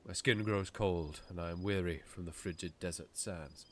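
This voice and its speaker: A man's voice: deep voice, moderate pace, natural voice. A man playing a weary, solemn warrior king in an audiobook, speaking formally. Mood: weary, grave. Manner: formal, stately.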